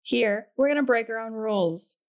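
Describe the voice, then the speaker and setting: A woman's voice: a little high, moderate pace. A woman hosting a podcast, delivering a scripted announcement to listeners. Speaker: podcast co-creator and host.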